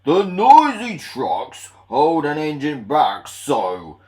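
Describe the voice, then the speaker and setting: Man voicing a booming, pompous big proud engine, swooping pitch. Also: wildly swooping pitch, mellow, exaggerated voice. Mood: straining, grumbling. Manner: pompous, booming, drawn-out delivery. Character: big, proud, boastful, grumbling male steam engine.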